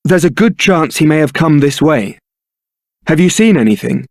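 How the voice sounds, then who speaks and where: A man's voice: on the high side, animated, normal speed, vocal fry, dry recording. A man playing a suave, sardonic young templar knight and roguish ladies' man in a fantasy video game, with a dry, theatrical delivery. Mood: casual, earnest.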